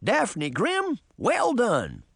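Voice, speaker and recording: A man's voice: high-pitched, wildly swooping pitch, drawn-out words, gravelly, a bit bright, husky, no room sound, clean studio sound, voice pushed up, full character voice. A man voicing a portly male ex-policeman in a storybook, a firm leader who scolds and commands.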